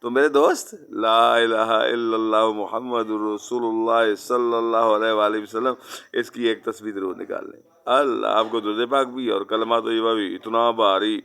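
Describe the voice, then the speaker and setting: A man's voice: medium pitch, gravelly, fairly bright tone, clear, resonant tone. A man preaching an Islamic devotional sermon, exhorting listeners like friends and weaving in repeated recited Arabic phrases.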